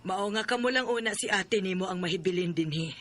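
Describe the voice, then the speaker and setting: A woman's voice: medium pitch, fairly quick, close to an everyday voice. An actress playing a stern, anxious village mother in a radio drama, with a Cebuano accent. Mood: concerned.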